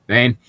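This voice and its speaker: A man's voice: sharp tone, dry recording, highs cut off. Exasperated sweary guy on a dry mic. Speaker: podcast host reading fan fiction aloud. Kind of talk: casual banter and mock-dramatic read-aloud.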